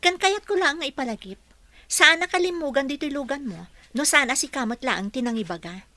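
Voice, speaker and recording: An actress playing a spirited, defiant young housekeeper in a Filipino radio drama, with a Filipino accent. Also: female voice, high-pitched, animated, fairly quick, pushed and bright, no room sound. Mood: indignant. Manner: feisty, talks back.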